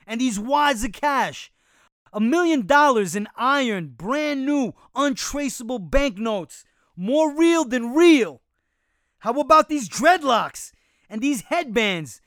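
A man's voice: very high voice, lively intonation, takes it slowly, fairly bright tone, no echo, studio quality, voice pushed up, heavily acted. A man voicing a boastful male chimpanzee gang leader who talks in slangy street patter. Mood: hyped. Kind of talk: audiobook character voice.

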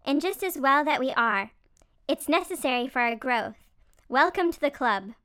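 A man's voice: very high voice, animated, normal speed, pushed and bright, no room sound, clean studio sound, voice pushed up, full character voice. A man voicing a chatty, teasing, motherly female beaver in a storybook, with an emphatic, playful manner. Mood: teasing, frank.

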